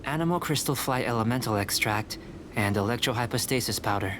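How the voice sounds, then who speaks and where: A man voicing a polite, composed young male alchemist who speaks in a measured, gently teasing way, heard over game music. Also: mid-range voice, background noise, lightly acted. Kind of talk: game voice acting. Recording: studio game audio with music behind. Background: clear music.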